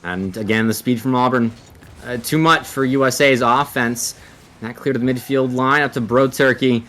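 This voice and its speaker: A man's voice: mid-range voice, normal speed, a bit bright. A man giving live esports commentary and analysis, sometimes drawing out words as he works out his phrasing.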